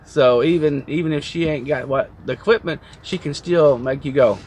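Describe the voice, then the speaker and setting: A man's voice: fairly high pitch, moderate pace, a little mellow, a little hiss or hum. A man chatting casually as a trucking vlogger, rambling and joking as he tells stories from a truck cab. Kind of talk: casual chat.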